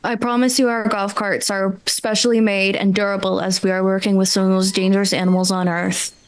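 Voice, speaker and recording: A woman's voice: mid-range voice, level tone, fairly quick, lightly acted. Woman as a tired, officious park employee. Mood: weary, officious. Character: tired, rule-bound male theme park employee.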